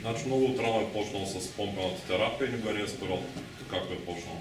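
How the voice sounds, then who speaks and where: A man interpreting a talk and summarizing quickly, with frequent filler sounds. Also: lowish voice. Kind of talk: consecutive interpreting. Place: conference hall. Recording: echoey hall, distant mic, noise.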